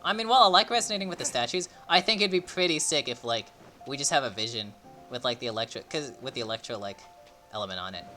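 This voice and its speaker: Male streamer, casual thinking-aloud commentary; bright, mid-pitched, very animated. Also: fast talker, faint music behind. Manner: rambling, excitable, thinking aloud. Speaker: livestreamer playing a game.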